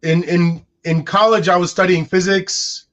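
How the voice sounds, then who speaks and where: A man's voice: high voice, steady tone, measured pace, fairly bright tone. A man in his forties whose first language is Arabic, musing aloud in a casual podcast conversation with frequent restarts. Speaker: co-host. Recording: dull lo-fi sound.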